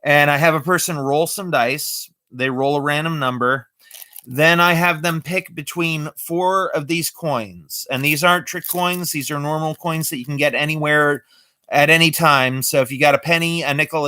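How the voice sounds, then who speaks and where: A man's voice: on the high side, normal speed, bright, forceful tone, no room sound. A man chatting casually on a live stream, explaining his magic tricks step by step. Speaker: amateur magician.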